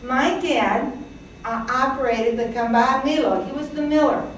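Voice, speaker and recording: A woman's voice: sits high, unhurried, a little hiss or hum. A woman in her sixties, from Greece, telling her family's immigrant story to an audience, chatty, with fillers and little laughs. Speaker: presenter. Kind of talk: personal history talk to an audience. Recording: echoey hall, from a distance.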